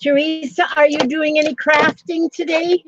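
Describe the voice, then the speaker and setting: A woman's voice: sits high, little pitch movement, moderate pace, a little hiss or hum. A woman hosting a casual livestream chat, rambling along with frequent ums and greeting viewers by name. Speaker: livestream host. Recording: dull lo-fi sound.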